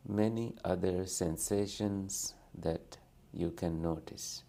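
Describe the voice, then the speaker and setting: A man leading a guided meditation as a teacher, speaking gently and soothingly in short phrases with long pauses between them. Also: low voice, steady tone, vocal fry.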